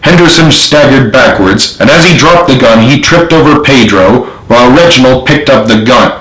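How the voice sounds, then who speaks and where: A man's voice: medium pitch, animated, normal speed, fairly bright tone. A man reading his own novel aloud as author, in dramatic storybook narration. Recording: roomy, echoey home recording.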